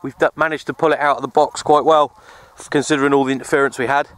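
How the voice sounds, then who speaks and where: A man's voice: mid-range voice, level tone, fast talker, fairly bright tone. A man chatting informally in a matey way as he narrates an outdoor CB radio session. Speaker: CB radio operator and vlogger. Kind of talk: casual outdoor vlog and radio chat. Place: outdoors on an open hill.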